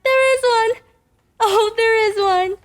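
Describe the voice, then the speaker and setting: Woman as an excited, very high-voiced little girl. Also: slow pace, soft background music. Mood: joyful wonder. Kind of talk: old-time radio drama acting.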